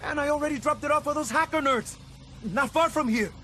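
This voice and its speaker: Shrill, panicky cartel thug. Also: male voice, brisk, sharp tone. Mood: panicked. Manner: whiny, mouthy, slangy. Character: cocky, panicky small-time male cartel thug. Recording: clear noise, vehicle and outdoor ambience.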